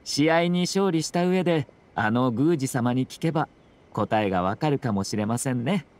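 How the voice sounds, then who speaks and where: An actor playing a composed young nobleman in an anime video game, speaking with polite, formal, measured phrasing and a subtly teasing slyness. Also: mid-range voice, lively intonation, darkish tone, lightly acted. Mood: sly.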